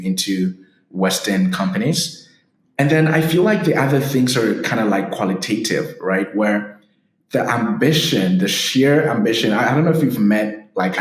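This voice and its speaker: A man's voice: lowish voice, roomy sound, rough recording. A man from Ghana, a startup founder, giving upbeat explanatory interview answers and often checking in with "right?".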